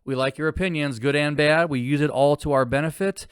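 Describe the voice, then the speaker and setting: A man's voice: on the high side, fairly even intonation, fairly quick, smooth, no echo, studio quality. A man co-hosting a sports betting podcast, chatting casually and joking around. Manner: easygoing, joking. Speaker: podcast co-host.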